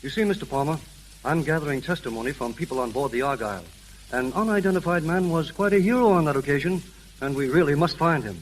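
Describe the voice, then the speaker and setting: A male actor as a brisk, officious gentleman who talks very fast with formal, effusive politeness. It is an old radio play with faint hiss and crackle behind him. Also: a little high, lively intonation, close to an everyday voice. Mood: polite, officious. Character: brisk, officious, overly polite foundation representative, adult man. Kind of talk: 1930s American radio drama acting. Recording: hissy, crackling 1930s radio recording.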